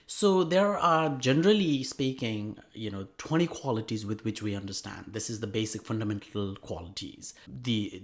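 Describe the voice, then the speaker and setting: A man speaking as a guest expert, explaining things conversationally in a halting way with repeated words. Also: mid-range voice. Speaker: guest expert, Ayurvedic doctor.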